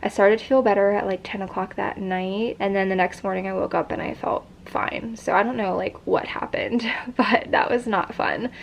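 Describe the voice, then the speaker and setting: A woman's voice: medium pitch, fairly even intonation, normal speed, gravelly, hardly any pauses, muffled recording, slight background noise. A young woman vlogging in a casual chat, congested and stuffy, with a sore throat, talking in a rambling way full of fillers. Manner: chatty, many fillers like "like" and "um".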